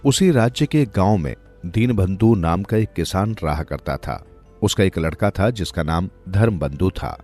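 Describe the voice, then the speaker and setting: A man's voice: low voice, animated, a little slow, a little mellow, faint music behind. A man narrating a moral folk tale from a script, as a storyteller.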